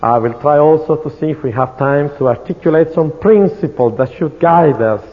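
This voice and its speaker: A middle-aged man from Italy with an Italian accent, giving an earnest, measured sermon-style talk at a church conference. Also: a little high. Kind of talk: sermon-style lecture with testimony. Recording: tinny, phone-like, echoey hall.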